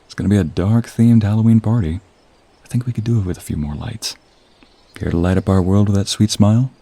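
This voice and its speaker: Guy acting as a confident flirty office coworker, low dark husky voice, romantic roleplay audio, teasing and intimate. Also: a little fast, no echo. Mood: playful, flirty. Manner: smooth-talking, teasing, intimate. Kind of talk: romantic audio role play.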